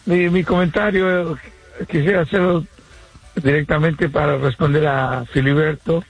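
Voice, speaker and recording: A man phones in to a radio talk show with a husky, gravelly voice pitched somewhat high for a man. He talks in measured phrases and pauses often, and the sound is that of a radio broadcast. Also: steady tone, faint music behind, low-quality recording. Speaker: caller. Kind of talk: call-in radio opinion.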